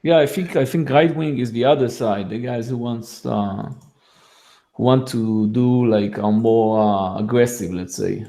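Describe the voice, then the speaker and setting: A man with an Israeli accent talks through his points in a casual podcast chat, in a voice of middling pitch. He stumbles and restarts often, leaning on fillers as he goes. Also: fairly even intonation, dark tone. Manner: halting, many restarts and fillers, laughs between points. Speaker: guest.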